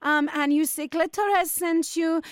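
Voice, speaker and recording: A woman's voice: high-pitched, moderate pace, a bit bright, no room sound, studio quality. Woman doing a flustered foreign hotel manager. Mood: pleading. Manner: broken English, formal and pleading. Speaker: radio breakfast show host.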